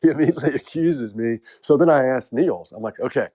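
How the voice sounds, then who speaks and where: An American man hosts a loose group chat, joking and wandering between topics with many pauses and fillers. His voice sits at an ordinary middle pitch. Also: noisy background. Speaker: host.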